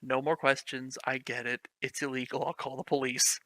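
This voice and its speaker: A man's voice: medium pitch, flat delivery, normal speed, a bit bright, dry recording, rough recording. A man reading fan fiction aloud for laughs, with mock-dramatic delivery and frequent laughter. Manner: reads stiff prose with mock drama, breaks into laughter. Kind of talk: comedic dramatic reading with commentary.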